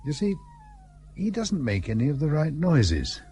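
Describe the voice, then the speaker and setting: An actor playing a hearty, pompous British colonial host with old-fashioned clipped phrasing in a period radio play. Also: male voice, on the high side, huge pitch swings, unhurried, warm tone, slight background noise, exaggerated voice. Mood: puzzled, suspicious. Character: blustery, sociable British colonial husband and host.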